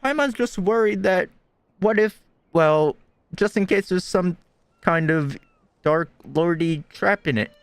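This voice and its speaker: A man's voice: high-pitched, a little slow, clean tone, no room sound, voice pushed up. A man voicing a small, childlike, fretful companion sprite from a game, chatty and hesitant. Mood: worried.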